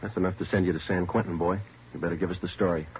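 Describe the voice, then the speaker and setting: An actor playing a terse police detective interrogating suspects in a 1950s radio drama, clipped and matter-of-fact. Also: male voice, sits low, fairly even intonation, rapid-fire, a little mellow, lightly acted. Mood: firm. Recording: dull old radio broadcast with faint hiss.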